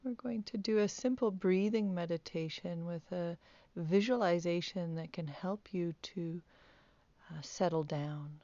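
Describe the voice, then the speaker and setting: Slow, low-voiced woman guiding meditation. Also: no room sound, dull audio. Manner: calm, gentle, unhurried instructing with long pauses. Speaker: meditation teacher. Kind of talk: guided meditation, soft spoken instruction.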